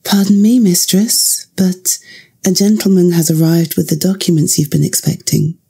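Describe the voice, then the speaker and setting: A woman playing a polite, deferential housemaid in an ASMR roleplay, with a soft, breathy voice. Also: lowish voice, moderate pace, heavily acted. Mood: courteous. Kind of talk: scripted ASMR roleplay acting.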